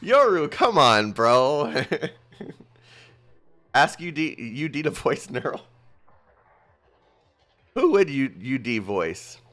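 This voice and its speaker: A young man streaming a game, chatting casually with his viewers in an excitable, rambling way. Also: medium pitch, slow, pauses often. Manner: excitable, rambling, talks to chat. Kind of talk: casual livestream commentary.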